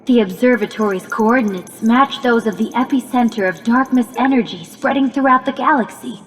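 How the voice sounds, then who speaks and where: A woman playing a calm, analytical robot AI companion in a video game, speaking in formal, precise, measured phrases with earnest encouragement. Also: a little high, long reverb tail, a little hiss or hum, lightly acted. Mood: grave, informative. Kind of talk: scripted video game cutscene acting.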